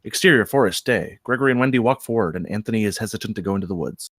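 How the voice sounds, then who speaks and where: A man's voice: fairly low pitch, very fast, a bit bright, breathy edge, no echo, studio quality. A man reading stage directions as narrator of a scripted comedy table read.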